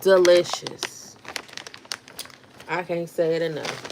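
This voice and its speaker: A woman's voice: on the low side, takes it slowly, background noise. Chatty woman in her thirties. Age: 30s. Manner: chatty, answers viewer comments aloud. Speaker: livestream host. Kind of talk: casual livestream chat.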